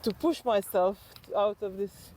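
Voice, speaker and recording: A woman's voice: mid-range voice, measured pace, warm tone. A middle-aged woman from Slovenia, chatting casually and reflectively as an interview guest while walking outdoors. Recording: outdoor walk with clear background noise.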